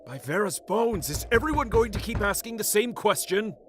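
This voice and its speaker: An actor playing a righteous, hot-tempered guardian warrior, stern and urgent, in a fantasy audio drama. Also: male voice, high-pitched, expressive, background noise. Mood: indignant. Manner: stern, urgent, quick to anger.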